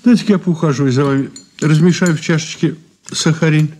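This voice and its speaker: A man's voice: fairly high pitch, fairly even intonation, fairly quick, darkish tone, natural voice. An actor playing a shrewd, ironic old man under questioning in a 1980s Soviet radio play, courteous and condescending. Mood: courteous, needling. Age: older. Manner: courteous, sly, condescending endearments.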